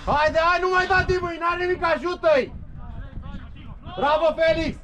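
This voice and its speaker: Very high-pitched man coaching from the touchline. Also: sharp tone, slight background noise. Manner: insistent, urging, full of short commands and encouragement. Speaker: football coach. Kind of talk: shouted touchline instructions. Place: outdoors at a football pitch.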